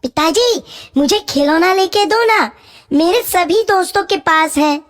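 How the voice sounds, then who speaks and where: A man's voice: very high-pitched, a little slow, fairly bright tone, dry recording, studio quality, voice pushed up. A man voicing a small, whiny village boy in a cartoon story. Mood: pleading.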